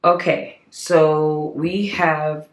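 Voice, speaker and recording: A slightly hoarse woman hosting a casual crafting tutorial, chatting viewers through each step as she works. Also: deep voice, level tone, very slow, poor audio. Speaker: craft channel host.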